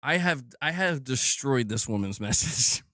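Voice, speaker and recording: A man commenting casually on gaming news during a live stream, animated and joking, often laughing and drifting into asides. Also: mid-range voice, a little slow, no room sound, clean studio sound.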